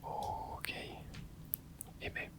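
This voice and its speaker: A young man hosting an ASMR channel, softly whispering a relaxed running commentary as he plays. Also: extremely slow. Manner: soft close whisper, relaxed running reactions. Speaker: ASMR gaming channel host.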